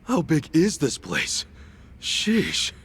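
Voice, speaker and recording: An actor playing a determined, earnest, rough-edged hero in a game cutscene, direct and colloquial. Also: male voice, on the high side, wildly swooping pitch, very slow, fairly bright tone, exaggerated voice. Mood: curious. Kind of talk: dramatic game cutscene acting.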